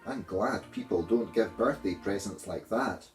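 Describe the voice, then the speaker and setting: A man's voice: fairly low pitch, a little slow, soft background music, natural voice. A man voicing a polite, hesitant young girl in a storybook reading. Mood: privately scornful. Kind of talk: storybook character voice.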